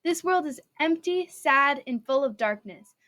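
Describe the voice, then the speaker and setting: A woman voicing an agitated, grieving man, crying out loudly and dramatically as in a story reading. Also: sits high, expressive, measured pace, pitched above the performer's own voice. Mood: desperate pleading.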